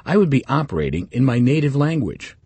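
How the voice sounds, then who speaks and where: Older Swiss man narrating a memoir, dark-toned. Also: mid-range voice, very animated, fairly quick, gravelly, breathy edge, no room sound. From Switzerland. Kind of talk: scripted first-person narration.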